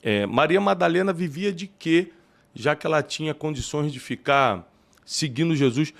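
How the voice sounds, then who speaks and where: A man from Rio de Janeiro with a carioca accent, a theologian hosting an interview who asks questions and thinks aloud in an animated way. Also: a little high, normal speed, vocal fry. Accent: Carioca Brazilian Portuguese. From Rio de Janeiro, Brazil. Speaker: podcast host and theologian. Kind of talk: conversational interview.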